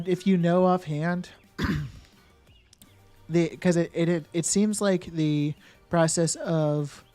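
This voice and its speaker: A man with a slightly high voice chats loosely with his stream viewers. He thinks out loud, trails off and restarts his sentences, with soft music playing underneath. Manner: thinks aloud and answers chat with many fillers and restarts. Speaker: game streamer. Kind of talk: casual live-stream chat.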